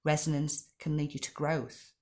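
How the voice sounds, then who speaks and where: A middle-aged woman with a slightly croaky voice gives a spoken tarot reading, talking gently, repeating herself and asking rhetorical questions. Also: low-pitched, level tone, moderate pace. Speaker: tarot card reader.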